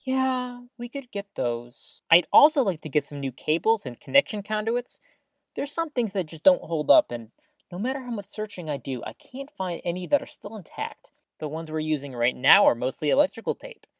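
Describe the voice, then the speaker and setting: Casting: stubborn, playful radio co-host for audio drama; lowish female voice, very lively swings, dry close sound. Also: normal speed, no room sound. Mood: earnest, pleading. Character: stubborn, playful radio host who loves old tech.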